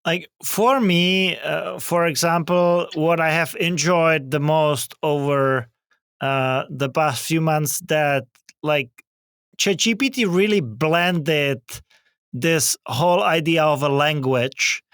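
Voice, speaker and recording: A man's voice: on the high side, slow, fairly bright tone, smooth, no room sound, clean studio sound. A man from the Czech Republic with a Czech accent, hosting a podcast interview in a casual, hesitant way with many fillers and restarts. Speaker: podcast host.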